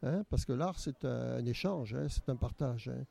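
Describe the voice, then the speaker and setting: A man's voice: medium pitch, normal speed, gravelly, dark tone, dry recording. An older man from Nice, the organizer of a local art exhibition, answering interview questions hesitantly with frequent repeats. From Nice, France.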